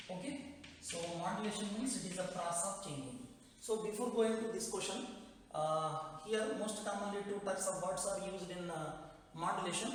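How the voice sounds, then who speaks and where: Male physics teacher, Indian English accent, high-pitched, recorded at a distance in a reverberant room with faint noise. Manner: explanatory, repeats "okay" and "nothing but", frequent "uh" fillers. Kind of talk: exam answer walkthrough lesson.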